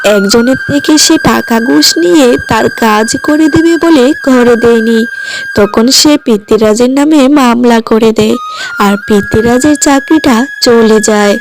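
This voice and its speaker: A woman's voice: on the high side, a little slow, music in the background, rough recording. A woman narrating a scripted moral tale for a cartoon, as the storyteller.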